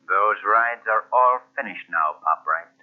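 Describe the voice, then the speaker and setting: An actor playing a commanding, ghostly crime fighter in a radio thriller, stern and ominous. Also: male voice, lowish voice, a little slow, natural voice. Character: commanding, ghostly crime-fighting man posing as avenging spirit. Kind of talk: 1940s radio thriller acting. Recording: muffled, tinny old radio recording.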